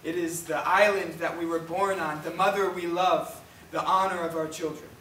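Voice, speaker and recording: A man's voice: a little high, little pitch movement, moderate pace, pushed and bright. A man acting an elderly, proud Puerto Rican storyteller on stage, speaking in a solemn, declamatory way. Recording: echoey stage, far microphone, faint noise.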